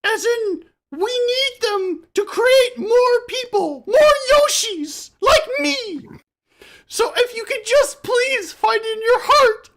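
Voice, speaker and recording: A man's voice: very high voice, lively intonation, slow, a bit bright, pitched above the performer's own voice, exaggerated voice. A man voicing a small cartoon dinosaur who pleads and wallows in an overwrought, self-pitying mock apology. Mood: tearful, pleading. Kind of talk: mock YouTuber apology video improv.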